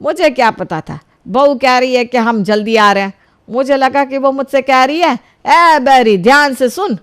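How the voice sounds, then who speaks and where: Muddled older mother-in-law, fairly high voice, woman acting. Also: moderate pace, smooth, natural voice. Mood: flustered. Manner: exclamatory, easily puzzled. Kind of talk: Hindi comic cartoon acting.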